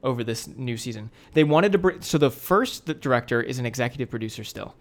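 A man's voice: medium pitch, animated, quick pace. A young American man co-hosting a podcast, chatting casually in a slangy, opinionated and blunt way. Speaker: podcast co-host. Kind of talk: casual chat.

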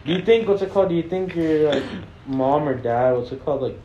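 A man's voice: fairly high pitch, expressive, a little fast, dark tone. A young man co-hosting a casual podcast, rambling in slangy talk and leaning on stock filler phrases. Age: young adult. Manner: rambling, leans on filler "what's it called". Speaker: podcast co-host. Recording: echoey, slightly muffled, faint noise.

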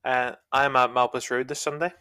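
A man's voice: medium pitch, level tone, fairly quick, pushed and bright, smooth. A man co-hosting a casual church podcast, asking questions in a playful, teasing way.

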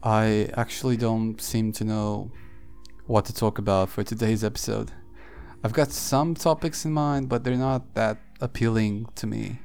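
A man hosting a solo podcast, thinking aloud in a halting, reflective way with frequent pauses and fillers. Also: fairly low pitch, steady tone, gravelly, a little mellow. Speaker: podcast host. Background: faint background music.

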